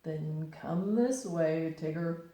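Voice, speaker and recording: Brief: woman voicing a glum, slow old storybook donkey, echoey room. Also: low voice, dark tone. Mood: gloomy. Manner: slow, drawn-out, mournful. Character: gloomy old male donkey, slow and resigned.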